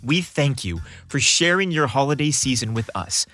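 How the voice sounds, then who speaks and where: A man's voice: mid-range voice, very animated, normal speed, pushed and bright, faint music behind. A man narrating a scripted story as a podcast host, with a theatrical, florid delivery.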